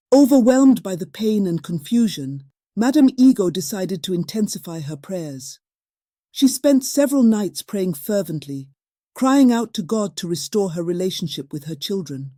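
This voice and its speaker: A woman's voice: mid-range voice, dark tone, no echo, clean studio sound. A woman narrating a scripted folktale as a storyteller.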